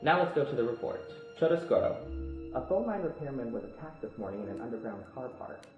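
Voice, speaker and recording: Male news anchor, played by an actor, formal game-cutscene bulletin, quick clip, roomy echo, music behind. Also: medium pitch, sing-song, highs cut off, lightly acted. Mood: formal, serious. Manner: formal, measured newsreader delivery. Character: male television news anchor reading a crime report.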